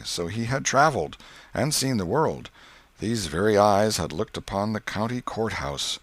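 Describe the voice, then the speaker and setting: A man narrating a literary story as an audiobook, in a measured, expressive and wry manner. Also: on the low side, dry recording.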